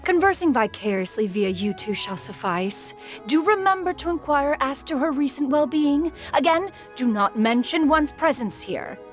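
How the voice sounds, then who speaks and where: Haughty, expressive immortal master. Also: female voice, sits high, normal speed, faint music behind. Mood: haughty, measured. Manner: haughty, formal, archaic, calls herself "one". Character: proud, aloof immortal female master, secretly caring. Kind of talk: video game acting.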